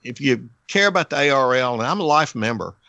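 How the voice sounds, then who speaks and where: An American man giving conversational commentary as a podcast panelist, choosing his words in a measured way. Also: medium pitch, expressive, creaky, bright, forceful tone, no echo, muffled recording.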